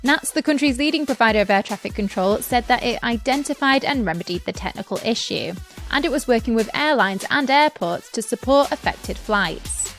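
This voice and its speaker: High-voiced woman reading news over music. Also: lively intonation, brisk, a bit bright. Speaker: news podcast presenter. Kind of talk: scripted news narration.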